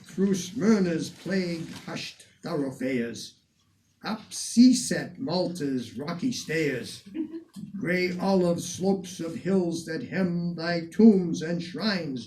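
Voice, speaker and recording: A man reciting old poetry dramatically in a measured, declamatory way, with deliberate phrasing and emphatic swells. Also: fairly high pitch, darkish tone. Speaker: reader of poetry, museum curator. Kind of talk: dramatic poetry recitation in the person of a 19th-century poet. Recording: distant microphone with some background noise.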